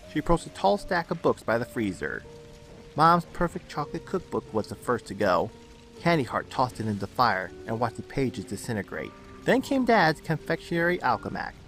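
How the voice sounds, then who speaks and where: A man narrates a dramatic story from a script. His mid-pitched voice carries the prose, with faint music playing underneath. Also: big pitch swings, normal speed, gravelly, fairly bright tone.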